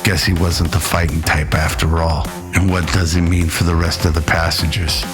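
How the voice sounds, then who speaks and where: A man narrating a scripted sci-fi radio drama in an old-school, measured storytelling manner. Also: sits low, flat delivery, music in the background.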